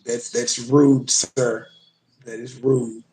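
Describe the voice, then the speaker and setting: A man's voice: mid-range voice, little pitch movement, slow pace, a little mellow, reverberant, a little hiss or hum, low-quality recording. A man hosting a livestream, rambling and profane as he chats with viewers and reacts to clips. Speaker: livestream host. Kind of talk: casual live commentary.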